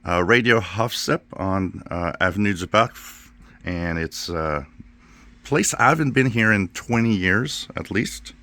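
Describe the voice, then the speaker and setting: A man's voice: fairly low pitch, animated, unhurried, pushed and bright. A man hosting a casual video tour, talking off the cuff inside a shop. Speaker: channel host filming a shop tour. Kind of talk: casual vlog narration. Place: inside a small electronics repair shop.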